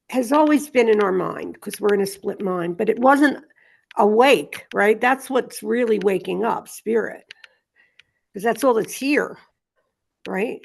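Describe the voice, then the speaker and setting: A woman's voice: medium pitch, vocal fry. A woman leading a spiritual study group, reading aloud and talking things through informally. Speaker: study group reader and discussion leader.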